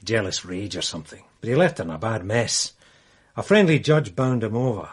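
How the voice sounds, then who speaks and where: An actor playing a blunt, cynical police sergeant in a radio drama, speaking with gruff disapproval. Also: male voice, on the low side, sing-song, a little fast. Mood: matter-of-fact. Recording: noisy archive radio recording with clicks.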